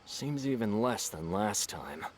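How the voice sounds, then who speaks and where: A man voicing a gruff, bored customer in a game, dismissive and grumbling. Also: lowish voice, expressive, unhurried, background noise. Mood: unimpressed. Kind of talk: game voice acting.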